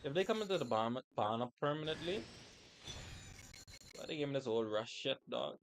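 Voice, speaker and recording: A man with a mid-pitched voice talks casually as he streams a game. His speech is slangy and informal, aimed at his chat, over slightly noisy live audio. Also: animated, brisk. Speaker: game livestreamer. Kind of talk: casual gaming livestream chat.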